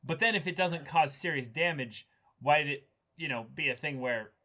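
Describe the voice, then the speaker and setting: A man's voice: a little high, normal speed, pushed and bright. A man co-hosting a reaction show, talking casually through an episode with a friend. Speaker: co-host of an anime reaction show. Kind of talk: casual discussion of an anime episode.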